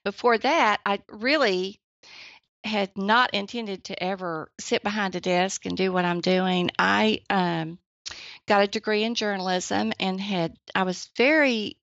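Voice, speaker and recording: Older woman with a girlish, gravelly voice. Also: medium pitch, measured pace, no echo. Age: 70s. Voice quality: youthful, girlish-sounding voice. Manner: soft-spoken, laughs often, gentle. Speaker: podcast guest, writer and editor. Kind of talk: casual interview chat. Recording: dry, slightly dull lo-fi sound.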